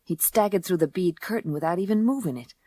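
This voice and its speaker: A woman's voice: lowish voice, fast, warm tone, dry recording, natural voice. A woman playing a tough, sardonic female private eye in a noir radio drama, speaking in a dry, unimpressed, hardboiled way. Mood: cool, wry. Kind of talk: first-person noir radio drama narration and dialogue. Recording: music under the voice. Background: music.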